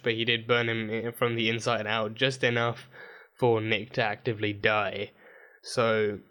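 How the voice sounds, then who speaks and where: A man with a dry, croaky throat recapping a TV episode in a rambling, casual way, full of fillers and restarts. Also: medium pitch, bright, forceful tone. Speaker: TV episode reviewer. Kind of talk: casual reaction video recap.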